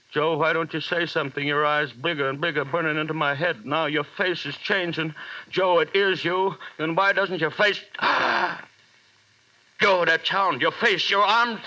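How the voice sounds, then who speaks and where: A man's voice: fairly high pitch, dry recording. An actor playing a lone man hallucinating as a giant spider closes in, in a breathless first-person monologue from an old radio horror drama. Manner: breathless first-person monologue, panic turning eerily calm. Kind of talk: 1930s radio horror acting. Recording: dull vintage radio recording.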